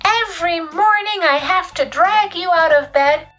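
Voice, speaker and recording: A woman voicing an exasperated, gushing mother in a storybook reading, shrill and emphatic. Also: measured pace, fairly bright tone, faint music behind. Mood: exasperated scolding.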